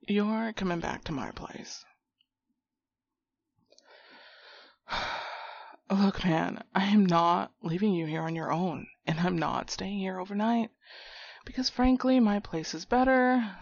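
A woman playing a foul-mouthed, hot-tempered but protective young friend in a one-sided audio roleplay drama, with a husky, breathy voice and slangy, direct delivery. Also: on the low side, no room sound, muffled recording, exaggerated voice. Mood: casual, reassuring. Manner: slangy, profane, talking directly to a buddy.

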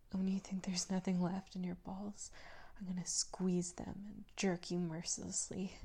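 A woman playing a domineering, cooing character in an intimate adult roleplay, mostly whispering in a breathy, coaxing, condescendingly tender manner. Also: lowish voice, warm tone. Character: domineering, cooing woman who soothes and commands an adult man.

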